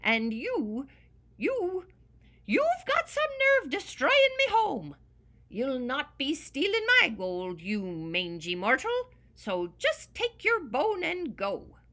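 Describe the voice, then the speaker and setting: A woman voicing a grumpy little male leprechaun shoemaker with an Irish accent, narrating a storybook in a lively sing-song way. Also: fairly low pitch, unhurried, heavily acted. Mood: angry. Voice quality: brogue-y dialect, very lively sing-song delivery. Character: grumpy old male leprechaun shoemaker, tiny, hoards gold.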